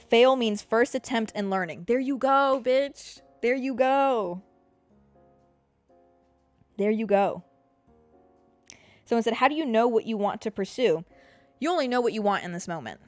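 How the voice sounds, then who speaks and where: A woman's voice: a little high, dry recording. A woman in her mid-twenties hosting a podcast, giving listeners a blunt, profanity-laced motivational pep talk and calling them babe and girl. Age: 20s. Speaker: podcast host. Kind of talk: casual motivational monologue.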